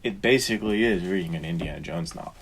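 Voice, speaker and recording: A man's voice: low-pitched, quick pace, a little hiss or hum. A man co-hosting a podcast, talking informally about books and explaining his thoughts in a casual chat. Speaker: podcast co-host.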